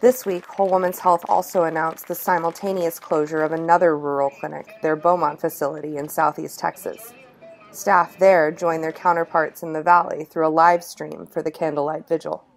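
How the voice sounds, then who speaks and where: A woman with a notably low voice narrates a news documentary from a script. Her delivery is measured and even, the steady tone of a reporter's voice-over. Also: some chatter behind, rough recording.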